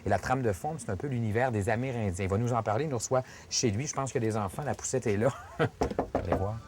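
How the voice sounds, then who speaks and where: A man's voice: mid-range voice, quick pace. A man with a Québécois accent who hosts a TV show. He presents the show and interviews his guest in a chatty, enthusiastic way, joking about himself. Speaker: TV show host.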